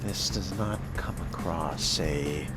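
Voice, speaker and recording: A man role-playing a sardonic, predatory vampire in solo game commentary, with wry, theatrical delivery and formal turns of phrase, under loud music. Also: low-pitched, slow. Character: sardonic, predatory fledgling vampire stalking prey. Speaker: gamer role-playing through a video game.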